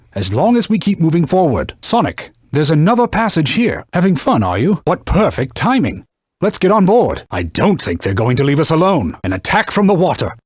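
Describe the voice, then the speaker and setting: A man's voice: on the high side, slightly rough, no room sound, studio quality, full character voice. A man voicing a stern, haughty talking sword in a video game, a cautious battle mentor giving formal, scolding commands. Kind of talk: theatrical video game voice acting.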